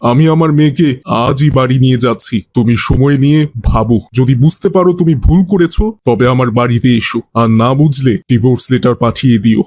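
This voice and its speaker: A man playing a stern, dignified father-in-law, an older wealthy patriarch, speaking gravely and deliberately in a moral cartoon. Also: medium pitch, a little mellow, a little hiss or hum, close to an everyday voice. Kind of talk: Bengali moral cartoon voice acting.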